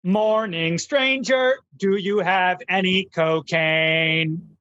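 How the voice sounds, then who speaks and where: An actor plays a lovesick junkie garbage robot in a comic radio drama. She speaks very slowly and smoothly, flat and deliberate, in a dry, slightly dull recording. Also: medium pitch, no echo. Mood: deadpan needy. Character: needy, amorous female garbage robot hooked on cocaine.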